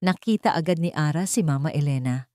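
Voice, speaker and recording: A woman's voice: low-pitched, expressive, a little fast, mellow, no room sound, studio quality. A woman with a Filipino accent reading a scripted dramatic story as an audiobook narrator.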